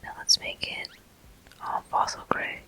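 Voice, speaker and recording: A person whispering gentle, unhurried ASMR commentary as a video game walkthrough host. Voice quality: soft, breathy whisper.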